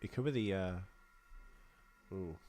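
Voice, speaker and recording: A man's voice: sits low, measured pace, darkish tone. A man co-hosting a podcast, chatting casually and jokily about film trivia. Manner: joking, conversational. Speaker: podcast co-host.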